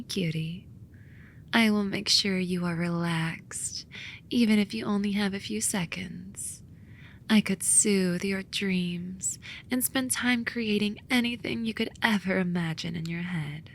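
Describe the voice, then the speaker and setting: A woman voicing a soothing but snarky sloth demon in a romantic ASMR roleplay, soft and coaxing with a dry edge. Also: medium pitch, slight background noise, lightly acted. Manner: soft, coaxing, dryly sarcastic, sometimes whispery.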